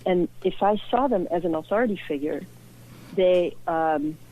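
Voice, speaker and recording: A woman's voice: fairly low pitch, expressive, normal speed, mellow. A woman from Belgium, a guest and author, talking earnestly and reflectively in an interview. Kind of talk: personal interview. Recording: tinny phone-like line.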